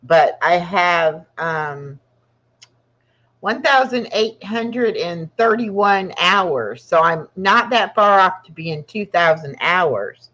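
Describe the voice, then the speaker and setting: A woman's voice: lowish voice, expressive, takes it slowly, a bit bright. A woman in her sixties hosting her own channel, chatting casually and rambling with frequent laughs. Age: 60s. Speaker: channel host. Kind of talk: casual live chat. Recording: dull lo-fi sound.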